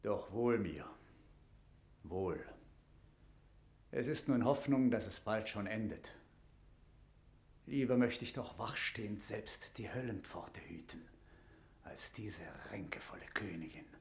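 A man's voice: on the low side, animated, takes it slowly, pauses often, a little mellow, echoey room. An actor playing a stern, suspicious middle-aged English knight who guards a captive queen, with solemn, declamatory diction in a classical German verse radio drama. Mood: brooding.

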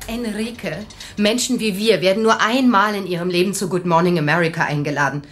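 A woman's voice: mid-range voice, brisk, a bit bright, faint music behind, lightly acted. A woman playing a fretful, talkative television guest with nerves, in a radio drama. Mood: fretful, excited. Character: nervous, fussing woman preparing for a TV appearance. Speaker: talk show guest.

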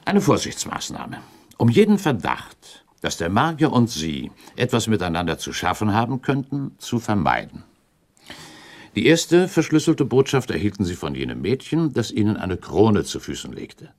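A man's voice: on the low side, wildly swooping pitch, moderate pace, darkish tone. An actor playing a shrewd, relentless accuser in a radio play, speaking formally and precisely as he argues his case. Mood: methodical, explanatory. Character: shrewd male accuser laying out a murder theory, methodical, relentless. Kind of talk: German radio play acting, period dialogue.

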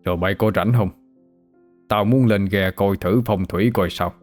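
A man's voice: low-pitched, a little slow, soft background music. A man voicing an elderly village ritual master, stern but kindly, in a Southern Vietnamese accent, in an earnest, folksy, admonishing way for an audio story. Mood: gentle.

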